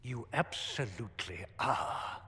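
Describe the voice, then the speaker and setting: A man's voice: mid-range voice, animated, slow pace, sharp tone. An actor playing a haughty, accusing male church official in a fantasy game, pompous and indignant. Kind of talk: dramatic fantasy game acting. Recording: long hall reverb.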